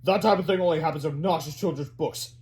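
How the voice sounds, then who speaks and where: Man acting a smug, scoffing scientist dad, slightly high. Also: quick pace, darkish tone, close to an everyday voice. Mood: scoffing, amused. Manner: condescending, mocking. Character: smug, pompous scientist father, condescending and scoffing.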